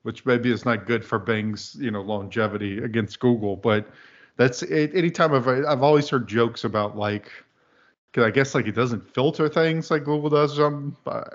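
A man in his thirties from the American Deep South, chatting casually as a podcast guest in a meandering, dryly humorous way. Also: moderate pace. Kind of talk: casual chat. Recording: dull lo-fi podcast audio.

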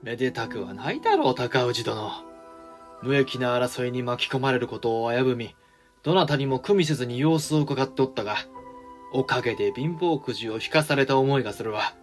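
A man's voice: mid-range voice, expressive, fairly quick, soft background music, close to an everyday voice. A man playing a gloomy, reluctant samurai regent, formal and rueful, in a period audio drama.

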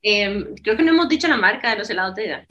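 A woman's voice: mid-range voice, expressive, quick pace, pushed and bright, highs cut off. A woman co-hosting a podcast, telling a story in an easygoing way. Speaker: podcast co-host. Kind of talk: casual podcast chat. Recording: echoey room.

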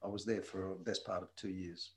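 Gravelly older man, unhurried and thoughtful. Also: low voice, level tone, slight background noise. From Wales. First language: Welsh. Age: 60s. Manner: unhurried, thoughtful, with frequent ums. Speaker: guest. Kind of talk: casual interview chat. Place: shared room with the host.

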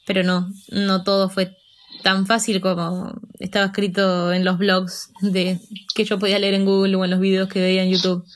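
A woman in her twenties from Argentina with an Argentine Spanish accent, casually telling her own story with fillers and laughs. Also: mid-range voice. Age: 20s. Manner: chatty, self-deprecating, with fillers and laughs. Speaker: interview guest.

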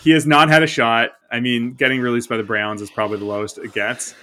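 A man's voice: mid-range voice, fairly even intonation, fast talker, pushed and bright. A man co-hosting a podcast, talking about football in a loose, rambling way with lots of fillers. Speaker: podcast co-host. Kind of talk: casual sports chat.

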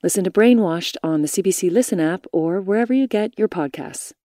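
A woman's voice: on the low side, animated, a little fast, warm tone, no room sound. A woman announcer giving a polished, scripted promo read.

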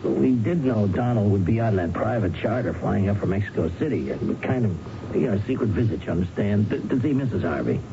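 An actor playing an evasive, bereaved American man in a radio drama, explaining himself in a halting way. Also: lowish voice, animated, gravelly. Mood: uneasy explaining. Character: evasive bereaved brother living on a ranch. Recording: dull, noisy 1970s radio broadcast recording.

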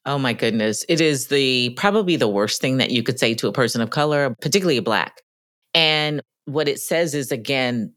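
A woman co-hosting a podcast, talking in an emphatic, lively way about workplace experiences. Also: a bass voice, no room sound, clean studio sound. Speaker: podcast co-host. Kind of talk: conversational podcast discussion.

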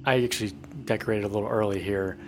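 A man co-hosting a casual podcast, relaxed and playful, laughing often. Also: on the low side, fast, slight background noise. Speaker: podcast co-host.

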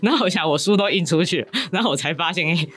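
A woman's voice: lowish voice, expressive, racing, husky. A woman with a Taiwanese Mandarin accent chatting casually and candidly as a guest novelist, rambling as she explains her writing.

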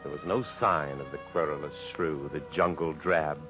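A man's voice: very deep voice, steady tone, normal speed. An actor playing a guarded, protective jungle traveler who tells his own story in 1950s radio style. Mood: brooding narration. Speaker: narrator and lead actor in a radio drama. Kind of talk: 1950s American radio acting. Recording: dull vintage radio recording with music.

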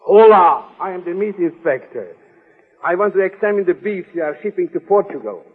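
An actor playing a wry, nervous, reluctant accomplice in an old-time radio adventure. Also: male voice, sits high, animated, normal speed, slightly rough. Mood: bluffing. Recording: muffled reverberant old radio recording.

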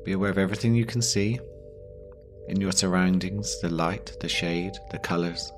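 A man guiding a yoga nidra meditation, giving gentle instructions slowly with long pauses. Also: deep voice, music in the background. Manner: slow, pausing, gentle instruction. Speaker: meditation guide.

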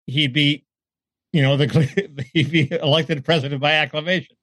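A man's voice: fairly high pitch, fairly even intonation, moderate pace, fairly bright tone, dry recording. Wry man in a dry, close room. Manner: wry, joking, laughs between points. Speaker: podcast co-host. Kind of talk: casual political chat.

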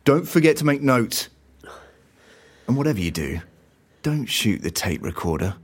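A man's voice: medium pitch, sing-song, normal speed, slight background noise, natural voice. Man as a dry, businesslike office colleague. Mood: dry, impatient. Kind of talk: audio drama comedy acting.

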